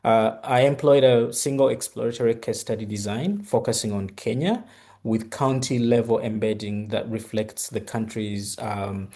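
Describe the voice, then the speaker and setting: Low, gravelly-voiced Ugandan-born man lecturing. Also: normal speed, mellow. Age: middle-aged. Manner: hesitant, many fillers and restarts. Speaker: guest speaker. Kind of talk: academic presentation.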